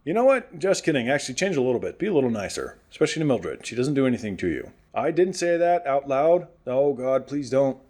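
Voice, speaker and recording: Man as affable, lively wasteland radio host. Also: medium pitch, fast talker, few pauses. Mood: rambling, upbeat. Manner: hesitant, rambling, gently teasing. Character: affable, earnest male radio host in post-apocalyptic town. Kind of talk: chatty morning radio show, comedy audio drama.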